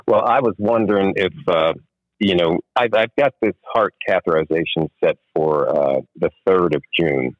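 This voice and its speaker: A hoarse man calling in to a radio show, talking in a worried, halting way with many restarts. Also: low voice, no echo. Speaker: caller. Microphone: phone line. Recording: muffled phone-in line.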